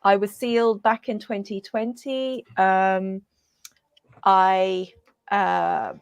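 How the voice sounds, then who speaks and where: A woman from the UK introducing herself as an interview guest, talking casually in an earnest, halting manner. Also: mid-range voice, flat delivery, gravelly, clear, resonant tone, no echo, dull audio. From born and raised in the UK. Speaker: guest, coach.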